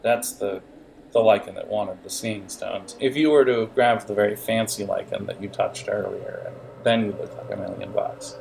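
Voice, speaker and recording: A man improvising narration as game master in a halting, digressive manner, with music behind the voice. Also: fairly low pitch, steady tone, gravelly, darkish tone, slight background noise, poor audio.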